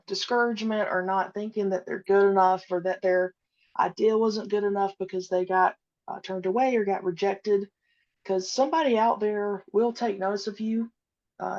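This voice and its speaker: On slightly muffled, lo-fi audio, a woman with a medium-pitched voice chats as a podcast guest. She is modest and a little hesitant, talking in a casual interview style. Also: darkish tone.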